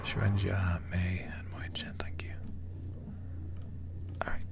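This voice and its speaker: Whispering low-voiced man, gravelly and slow. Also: flat delivery. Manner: hushed, unhurried murmuring. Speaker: livestream host, gamer. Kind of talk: whispered ASMR game livestream. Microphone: close-up microphone.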